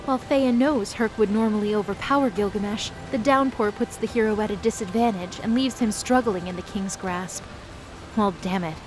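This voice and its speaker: A woman's voice: medium pitch, moderate pace, rough recording. A woman narrating an audio drama in a scripted style with wry, casual asides. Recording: music underneath.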